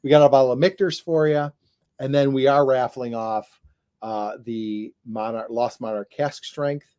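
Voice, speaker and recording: A man's voice: mid-range voice, steady tone, moderate pace, warm tone, clean tone. A man co-hosting a casual livestream chat. He talks in a chatty, jokey way, often trailing off and restarting. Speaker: co-host.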